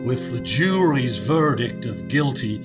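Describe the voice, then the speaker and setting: A man's voice: mid-range voice, lively intonation, unhurried, over loud music, lightly acted. A man playing a stern, indignant judge in a radio play, with a formal, weighty, scolding manner. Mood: grave condemnation.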